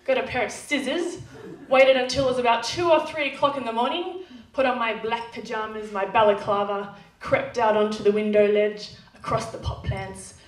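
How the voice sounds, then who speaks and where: A woman's voice: a little high, fairly bright tone. A young Australian woman with a nasal twang doing brash, sweary stand-up comedy. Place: comedy club stage. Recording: echoey club room, distant, noisy.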